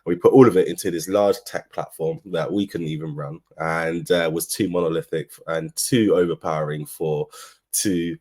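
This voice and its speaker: Very deep-voiced London man. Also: steady tone, moderate pace, a little mellow. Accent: London English. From London, England. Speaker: agency co-founder, speaker. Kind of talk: informal business presentation, telling own story.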